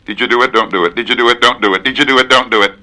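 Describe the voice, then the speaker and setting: A man's voice: mid-range voice, very fast, sharp tone, rough recording. A man giving a theatrical recitation of wordplay with precise, dramatic enunciation. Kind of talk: theatrical tongue-twister recitation.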